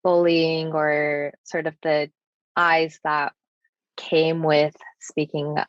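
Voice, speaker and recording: A woman's voice: sits low, flat delivery, slow pace, no echo. A young woman from the United States whose first language is Japanese, speaking as a podcast guest in an earnest, thoughtful way, with many casual fillers. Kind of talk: reflective personal conversation. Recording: dull lo-fi sound.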